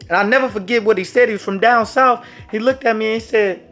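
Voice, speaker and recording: A man's voice: sits high, fast talker. A man telling a dramatic sports story in a casual storytime vlog, talking straight to his viewers. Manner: dramatic, animated, speaks to viewers directly. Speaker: storyteller vlogger. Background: faint background music.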